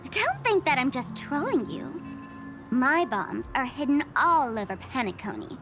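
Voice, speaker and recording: A woman's voice: sits high, sing-song, a little slow. A woman voicing a playful, mocking masked trickster in a game dub, theatrical and fond of her own jokes. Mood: menacing. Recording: game cutscene with music underneath.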